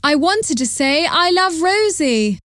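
A very high, bright young woman's voice with a plaintive, squeaky edge, as a woman reading aloud puts her on. She draws her words out slowly. The tone is thin and pleading. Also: big pitch swings, dry recording, clean studio sound, exaggerated voice.

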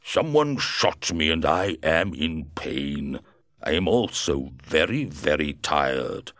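A man's voice: rumbling low, big pitch swings, slow pace, a bit bright, no echo, heavily acted. A man voicing a wounded, desperate invisible scientist, strained and pleading.

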